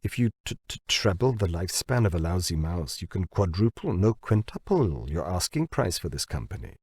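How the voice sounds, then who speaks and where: Shrewd, insistent male researcher pitching to the boss, as a male narrator reads him, lower voice, audiobook dialogue. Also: big pitch swings, darkish tone, breathy edge, no room sound, heavily acted. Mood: excited pitching. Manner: deliberate, insistent salesmanship.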